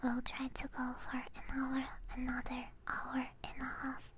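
A woman whispering softly and casually to her viewers as an ASMR livestreamer, close to a binaural microphone. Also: high voice, completely flat delivery, a little slow, background noise. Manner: soft, hushed whispering.

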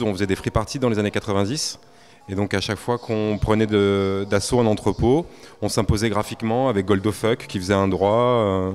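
Low-voiced artist, casual. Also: male voice. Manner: relaxed, casual with filler pauses. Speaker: graffiti artist. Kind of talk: on-camera interview. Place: art gallery indoors. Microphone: handheld interviewer mic.

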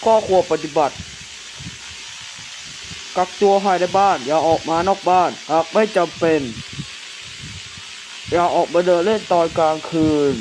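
A man playing a solemn government announcer, formally reading a measured emergency warning for an analog horror broadcast. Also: high-pitched. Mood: solemn, official. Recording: clearly noisy hissing background.

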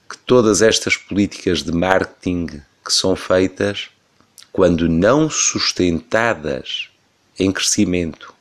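A man in his thirties with a European Portuguese accent, giving an emphatic, rhetorical opinion commentary on the radio. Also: deep voice.

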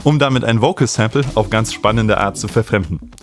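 A man's voice: fairly low pitch, a little fast, soft background music. A man in his thirties explaining step by step as a tutor, recorded close on a studio microphone. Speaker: host and music production tutor. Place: small home studio room, indoors. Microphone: studio microphone close on a stand.

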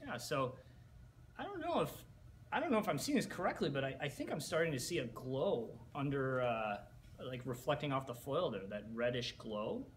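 A man's voice: mid-range voice, very animated, moderate pace, slight background noise. A young man in a room, speaking into a headset mic in a playfully agreeable, mock-formal manner. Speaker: co-host. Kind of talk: mock-serious cooking commentary.

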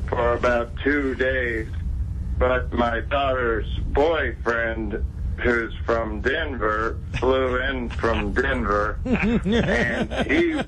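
A man playing an earnest, rambling prank caller who haltingly lists family details in a deadpan way. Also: mid-range voice, full character voice. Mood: slow, solemn. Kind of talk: prank phone call. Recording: noisy call heard on a TV broadcast.

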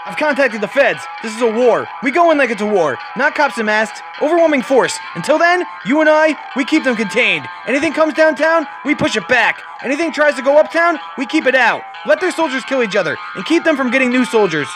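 A man's voice: very high voice, expressive, a little fast, few pauses. Man playing urgent veteran police commissioner, music behind. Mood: urgent, resolute. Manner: terse, forceful.

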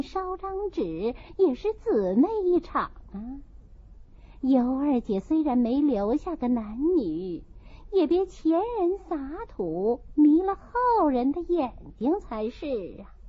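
A woman narrator plays a proud young mistress of a rich house in a classic-novel audiobook. She is scornful and cutting, with a high voice that swings widely. The recording is a little dull. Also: exaggerated voice. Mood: reproachful, softer.

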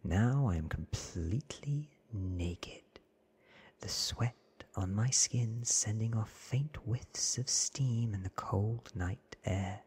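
A man in his thirties reading a story aloud in a hushed, deliberate ASMR whisper, close on the microphone. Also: lowish voice. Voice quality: breathy whisper. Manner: hushed, deliberate, short measured phrases. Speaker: narrator reading a novel aloud. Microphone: close studio microphone, seen.

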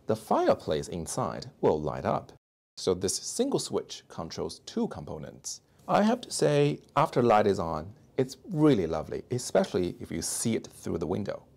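A man in his thirties with a Chinese accent, narrating a toy review from his hobby room on a lapel mic, explaining each detail with bright jumps on his opening words. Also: vocal fry. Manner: explanatory, lively voiceover with sudden high emphasis on openers. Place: home hobby room, indoors. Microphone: clip-on lapel mic. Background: soft background music.

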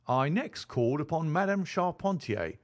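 A man's voice: fairly high pitch, animated, normal speed, darkish tone, voice pushed up, close to an everyday voice. A man voicing a boastful, eager police detective recounting his case in a dramatised audiobook reading. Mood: proud, eager.

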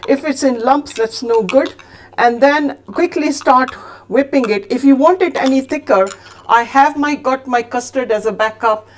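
Middle-aged woman, cooking host, a little high-voiced, chatty instructive delivery, kitchen set with the mic at mid distance. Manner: chatty, instructive, with fillers and self-corrections. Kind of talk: cooking demonstration and recipe read-out. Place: home kitchen set, indoors.

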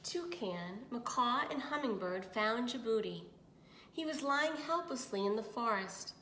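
Higher-pitched woman reading aloud, echoey room. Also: lively intonation, a little slow, pushed and bright, a little hiss or hum. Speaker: storyteller reading aloud to children. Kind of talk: picture book read-aloud with asides.

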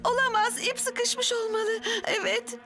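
A woman's voice: very high voice, fairly even intonation, measured pace. A woman playing a plucky, urgent and anxious female firefighter in a dubbed children's cartoon. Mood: flustered. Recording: loud music behind the voice.

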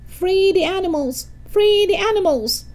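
A woman's voice: extremely high pitch, lively intonation, a little slow, darkish tone, voice pushed up. A woman voicing a manic, eccentric young schoolboy who declaims theatrically in a storybook reading. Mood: frenzied chanting.

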